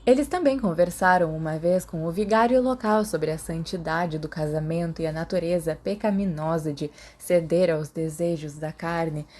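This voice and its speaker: A woman's voice: lowish voice, dark tone. A woman with a Brazilian accent reading a story aloud as scripted audiobook narration.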